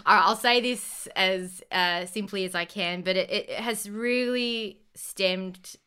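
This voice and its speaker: An Australian woman in her late twenties tells her story on a podcast with bubbly earnestness, breaking into laughter often. Her voice is bright and forceful, smooth and crisp, sitting at an ordinary pitch. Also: slow pace. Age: 20s. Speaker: podcast guest, co-founder. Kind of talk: casual podcast chat.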